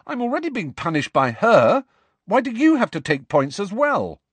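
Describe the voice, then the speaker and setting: An angry teenage boy protests loudly and defiantly, a man doing the voice. His voice is pushed well above the performer's own and swoops with feeling. The sound is close and dry. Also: sits high, wildly swooping pitch, moderate pace, breathy edge, no echo, pitched above the performer's own voice, exaggerated voice. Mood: indignant. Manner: angry, indignant.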